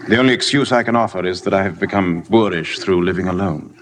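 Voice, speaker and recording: An actor playing an aloof, dryly ironic wealthy gentleman in golden age radio drama style, curt and commanding under formal politeness. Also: low-pitched, fast talker, a little hiss or hum, natural voice. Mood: politely curious. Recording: vintage radio broadcast with faint music.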